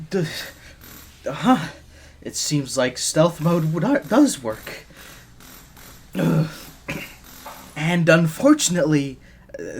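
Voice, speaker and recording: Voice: mid-range voice, a little hiss or hum. A performer voicing a nervous, flustered young gadget engineer in an ASMR roleplay, stammering shyly and breaking off mid-phrase.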